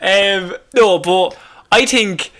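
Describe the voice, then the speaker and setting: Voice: medium pitch, extremely slow, a bit bright. A podcast co-host with a mid-range voice. The delivery is casual and comic, like banter between friends over odd news, with laughter often breaking into the speech. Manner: jokey, laughs often while talking. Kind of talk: casual comedic chat about odd news.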